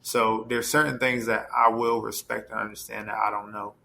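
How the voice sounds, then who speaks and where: A man's voice: fairly low pitch, flat delivery, moderate pace, gravelly, bright, forceful tone. A man speaking as a podcast guest, thoughtful and hedging in casual conversation.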